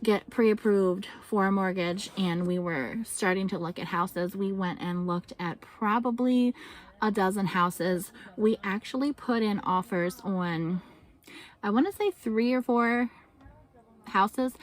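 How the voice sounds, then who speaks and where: A woman's voice: mid-range voice, measured pace, rough recording. A woman homesteading vlogger talking casually to camera in a chatty, rambling way. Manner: chatty and rambling, reads out seed names.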